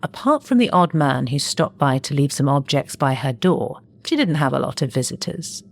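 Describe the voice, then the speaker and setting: A woman voicing a contented, naive scavenging monster who narrates a horror audio story in a measured, expressive way. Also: extremely low pitch, gravelly, heavily acted. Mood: curious, puzzled. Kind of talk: scripted first-person horror audio story.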